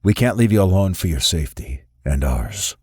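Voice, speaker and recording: A man reading an audiobook, voicing a stern, feared male boss in a curt, gruff voice. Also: low-pitched, sing-song, normal speed, a little mellow, no room sound, studio quality, heavily acted.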